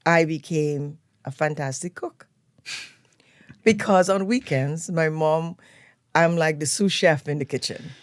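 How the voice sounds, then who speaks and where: An older woman with a Trinidadian accent, a guest telling stories of her upbringing in a relaxed, unhurried way. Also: low-pitched, no echo, clean studio sound. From Trinidad and Tobago. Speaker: guest, event planner. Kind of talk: relaxed storytelling interview. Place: radio studio.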